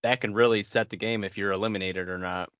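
A man's voice: on the low side, steady tone, quick pace, fairly bright tone, clean tone, no echo. An American man in his thirties, a podcast co-host chatting casually about games. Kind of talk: casual gaming chat. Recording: slightly dull, band-limited, dry sound.

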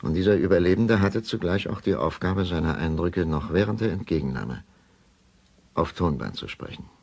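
An actor playing a grave male doctor giving a formal, measured account in a radio play. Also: low-pitched, expressive, vocal fry, darkish tone, husky, exaggerated voice.

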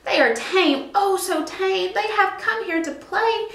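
Storybook cat, bragging and bouncy, high and sing-song, read by a woman, roomy echo. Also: a little slow, fairly bright tone, full character voice. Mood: coaxing. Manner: sing-song rhyming, gleeful. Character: playful boastful male cat, showing off tricks.

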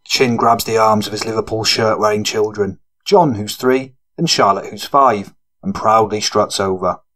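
A man narrates a gritty audiobook. His pitch is a little low, and he reads scripted prose as the storyteller. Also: fairly even intonation, normal speed, a bit bright.

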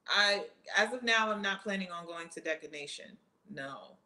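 Bright-voiced woman chatting, lots of ums. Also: lowish voice, normal speed. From Trinidad and Jamaica family roots, living in the US. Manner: relaxed, many ums, reads questions aloud then answers. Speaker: track athlete answering fan questions. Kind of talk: casual live Q&A.

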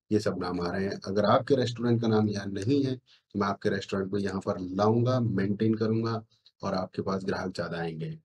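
A man's voice: on the low side, a little mellow. A middle-aged man talks viewers through an on-screen demonstration. He sells his consulting service with self-assurance and often repeats phrases to drive a point home. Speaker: SEO consultant. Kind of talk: screen-share sales pitch to viewers. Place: indoor room, screen recording with webcam.

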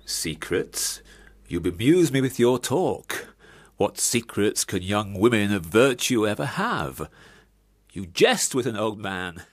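An actor in a radio play as an elderly clergyman father, gentle, indulgent and world-weary, speaking in a measured paternal way. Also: lowish voice, very animated, no room sound, heavily acted. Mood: bemused.

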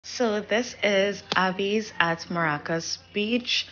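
A woman's voice: medium pitch, takes it slowly, sharp tone, lo-fi sound. A young woman with a Trinidadian accent, chatting casually to the camera as a vlogger. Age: young adult.

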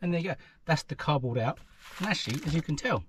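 A man's voice: a little high, expressive, quick pace, slight background noise. A man, talking casually through a how-to job inside a parked car, chatty and self-deprecating as he thinks aloud. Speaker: vlogger. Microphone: action camera mounted in the car.

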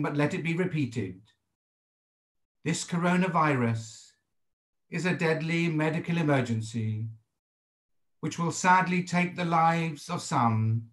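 A British man in his sixties, a rabbi giving a sermon in a measured, formal and deliberate way over a video call from a home study. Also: on the high side, reverberant. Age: 60s. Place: indoors, home study with bookshelves. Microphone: computer microphone on a video call.

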